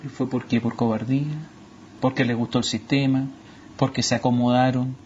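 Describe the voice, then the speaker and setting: A man's voice: medium pitch, little pitch movement, normal speed, a little mellow, dull audio, slight background noise. A man interviewing a guest on TV, pressing with insistent follow-up questions.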